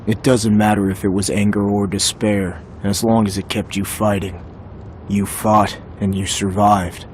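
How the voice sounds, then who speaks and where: A man playing a young warrior hero in a video game, pragmatic and dry, with a steady, matter-of-fact delivery. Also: lowish voice, a little slow, slight background noise, close to an everyday voice. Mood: earnest. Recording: loud music under the voice.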